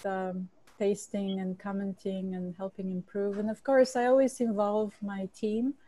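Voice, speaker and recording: A woman's voice: medium pitch, little pitch movement, a little slow, dark tone, rough recording. A woman from Bulgaria, a chef and cookbook author, answering interview questions earnestly with frequent restarts.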